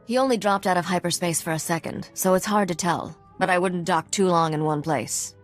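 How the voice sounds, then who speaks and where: A woman acts a cautious spacer in a game. Her low voice is earnest with a sardonic streak, and music sits behind it. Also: brisk, close to an everyday voice. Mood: serious warning. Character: sharp, cautious female starship crewmate of the captain. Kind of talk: video game cutscene acting.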